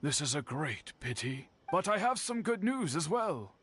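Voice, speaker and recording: A man voicing an earnest, kindly townsman in a video game, expressive and deliberate in his delivery. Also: a little high, slightly rough, full character voice. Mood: reflective, relieved.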